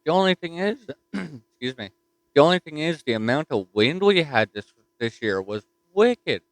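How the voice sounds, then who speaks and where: A man with a Newfoundland accent chatting casually as a ham radio operator from his home station, relaxed and easygoing. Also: on the high side, moderate pace, a little hiss or hum, poor audio. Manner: easygoing, says "roger that".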